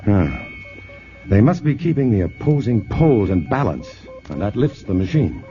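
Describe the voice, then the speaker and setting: A steady scientist hero in a 1950s radio play, explaining things plainly in a lowish voice that rises and falls a lot. The old broadcast sounds dull and muffled, with faint music underneath. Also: male voice, normal speed. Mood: tense. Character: calm, knowing male scientist hero. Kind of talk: 1950s radio drama acting.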